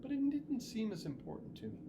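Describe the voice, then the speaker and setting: A storybook reader playing a caring, practical mother who gives measured, earnest advice to her child. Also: mid-range voice, huge pitch swings, noisy background, pitched above the performer's own voice. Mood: reflective.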